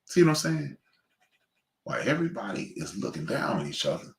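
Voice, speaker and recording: A man's voice: mid-range voice, big pitch swings, normal speed, vocal fry, roomy sound. A man with a Chicago accent hosting a casual livestream monologue, rambling and preachy, full of fillers and restarts. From Chicago West Side. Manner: rambling, preachy, many fillers and restarts, shout-outs to friends. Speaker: livestream host.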